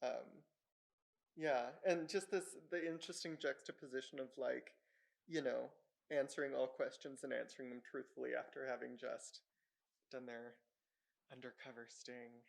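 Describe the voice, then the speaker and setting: Creaky-voiced man, casual and hesitant. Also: medium pitch, fairly even intonation, moderate pace. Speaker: panelist. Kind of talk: casual reaction in discussion.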